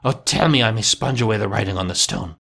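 A man's voice: on the low side, fast, vocal fry, fairly bright tone, slightly rough, heavily acted. A man playing an old reformed miser in a storybook reading, speaking fervently in repeated exclamations. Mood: desperate pleading.

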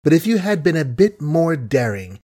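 A man's voice: fairly high pitch, expressive, unhurried, a little mellow. A man voicing a young woman who mocks with sweet, suave sarcasm in an audiobook reading. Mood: sweetly mocking. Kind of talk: audiobook character voice.

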